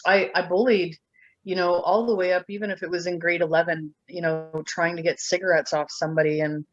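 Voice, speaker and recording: A middle-aged woman from Ontario tells her own story as an interview guest. She talks haltingly, with many fillers and restarts. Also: on the low side, fairly even intonation, dull audio. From Ontario, Canada. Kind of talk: candid personal storytelling.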